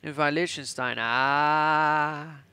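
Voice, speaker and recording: A man's voice: fairly high pitch, steady tone, extremely slow, pushed and bright, smooth, no echo. A man in his twenties playfully commentating on a strategy game in a mock-imperious, theatrical way, putting on an Austrian accent. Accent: put-on Austrian. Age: 20s. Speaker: gaming channel host.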